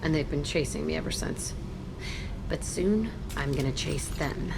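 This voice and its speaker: Low-voiced, hardened defiant fugitive. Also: female voice, unhurried, gravelly, noisy background. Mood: guarded. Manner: blunt, cynical, clipped. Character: hardened, defiant female fugitive criminal, cynical and tough. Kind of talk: video game voice acting.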